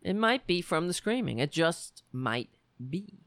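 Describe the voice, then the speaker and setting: Raspy-voiced woman ranting on a livestream. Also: lowish voice, very animated, measured pace, no room sound. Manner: blunt, sarcastic and heated. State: raspy, worn-out voice. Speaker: livestream show host. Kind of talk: political rant and chat reading.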